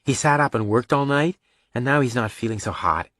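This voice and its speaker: A man's voice: mid-range voice, lively intonation, fairly quick, fairly bright tone, no room sound, studio quality, voice pushed up, lightly acted. A man voicing a friendly young teenage girl in an audiobook, with casual, easygoing phrasing. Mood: apologetic.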